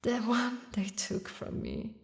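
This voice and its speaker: A woman voicing a haughty, mocking demon queen who mourns a lost lover, speaking in slow, theatrical, regal phrases for an audio roleplay. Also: a little high, wildly swooping pitch, creaky, full character voice. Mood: grieving, bitter. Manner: slow, taunting, regal, with dramatic pauses. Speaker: villainous ruler addressing a knight.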